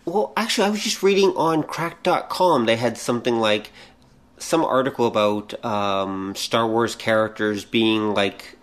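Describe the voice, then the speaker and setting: A middle-aged man speaks as the co-host of a podcast. His voice sits at an average pitch for a man. The delivery is informal conversation, like someone talking things over with a friend. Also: a little slow. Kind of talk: casual conversational podcast chat.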